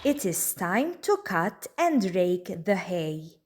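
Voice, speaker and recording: Very slow, lively woman storyteller. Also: on the low side, heavy background noise. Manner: deliberate, expressive storytelling for young children. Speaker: children's book reader. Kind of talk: read-aloud storybook narration.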